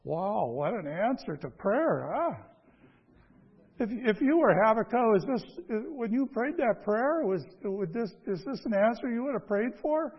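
A man preaching a conversational sermon in a folksy, halting way, repeating phrases and asking rhetorical questions. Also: high-pitched, animated, husky, highs cut off. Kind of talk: conversational sermon with scripture reading.

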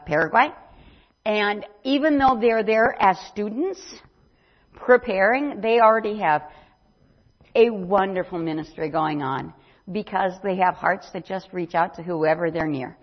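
An older woman giving a chatty mission presentation at church, rambling with many ums. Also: medium pitch. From not from Brazil. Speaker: missionary speaker. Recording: dull lo-fi sound.

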